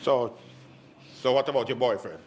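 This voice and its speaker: A man's voice: medium pitch, moderate pace, a little hiss or hum, natural voice. A man playing a flustered, evasive married Jamaican businessman in a comic stage play, stammering and exasperated. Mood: indignant. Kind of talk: comedic stage play acting.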